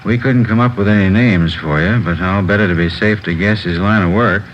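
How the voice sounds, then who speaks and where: A methodical police lieutenant acted by a man talks in a very low voice. He is low-key and plainspoken, as in a 1950s radio crime drama, on a muffled old recording with faint noise. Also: normal speed, natural voice. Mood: explaining. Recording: dull, faintly noisy vintage radio recording.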